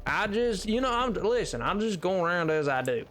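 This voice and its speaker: A man's voice: sits high, sing-song, moderate pace, a bit bright. A man voicing an easygoing, polite cowboy with a drawling Southern US accent and a folksy, rambling, repetitive way of talking on a livestream. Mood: polite, pleading. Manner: folksy, rambling, repeats himself. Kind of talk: improvised livestream banter. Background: music.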